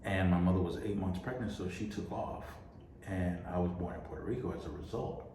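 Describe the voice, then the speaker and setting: A man from the Dominican Republic chatting casually on a podcast, quick and curious. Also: deep voice, mellow. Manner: quick, curious, asks questions. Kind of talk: casual chat. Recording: echoey room, faint noise.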